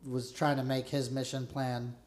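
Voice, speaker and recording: A man with a loud, carrying voice, hosting a casual podcast and telling military stories in blunt, profane slang. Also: medium pitch, flat delivery, moderate pace, roomy sound. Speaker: podcast host interviewing a fellow veteran.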